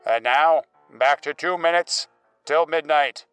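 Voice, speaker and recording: Smooth salesy ad announcer guy, higher pitched, music behind. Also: a little slow, a bit bright, no room sound. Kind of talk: spoof radio advertisement.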